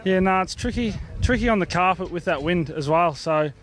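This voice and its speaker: High-pitched Australian male bowls player. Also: expressive, moderate pace, a bit bright. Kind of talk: casual sports interview.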